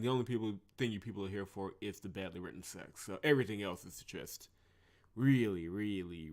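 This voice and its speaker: A man's voice: mid-range voice, a little mellow. A man doing sarcastic, exasperated game commentary, ranting with swear words, with music behind him. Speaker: visual novel playthrough host. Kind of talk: game commentary and reading dialogue aloud.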